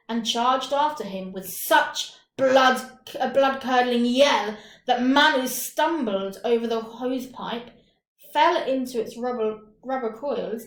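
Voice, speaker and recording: A woman reading a short story aloud with deliberate, dramatic phrasing and pauses. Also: fairly high pitch. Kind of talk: expressive scripted story narration. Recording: roomy, echoing room.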